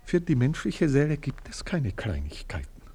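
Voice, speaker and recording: An actor playing a proud, indignant manservant, speaking in a stilted formal way and bursting into outrage. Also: male voice, medium pitch, huge pitch swings, normal speed, warm tone, slightly rough, heavily acted. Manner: stilted formal speech, swings into outraged outbursts. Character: proud indignant middle-aged male manservant, pompous and wounded. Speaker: servant. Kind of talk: radio play acting.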